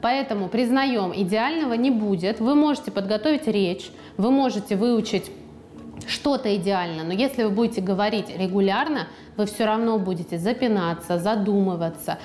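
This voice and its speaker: A woman's voice: mid-range voice, moderate pace, a little hiss or hum. Chatty woman guest on a podcast. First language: Russian. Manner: lively, chatty, acts out quoted speech. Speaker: guest author. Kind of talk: podcast conversation. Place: podcast studio.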